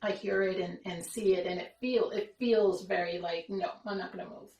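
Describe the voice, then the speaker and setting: A woman's voice: mid-range voice, steady tone, moderate pace, darkish tone, reverberant. A woman hosting a podcast, talking in a rambling, reflective way with many fillers and restarts. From Romania. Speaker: podcast host. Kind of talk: casual conversation.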